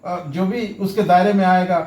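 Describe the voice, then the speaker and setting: A man's voice: high voice, steady tone, normal speed, a little mellow. A man presenting an informal how-to lesson, explaining step by step and often repeating his phrases. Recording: echoey room sound.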